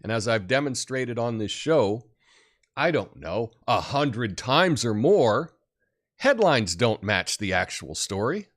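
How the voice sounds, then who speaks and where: A man with a deep voice who talks loud, hosting a casual monologue show and giving advice. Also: big pitch swings, slow pace. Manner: loud, emphatic, swoops up high in acted examples. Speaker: show host.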